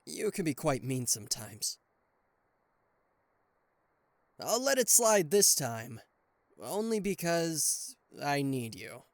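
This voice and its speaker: A man playing a charismatic, flustered king of hell as a boyfriend in an ASMR roleplay audio drama, with a theatrical, halting delivery. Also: fairly high pitch, dry recording, full character voice. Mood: mock offended. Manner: theatrical, hesitant stammering, exasperated sighs.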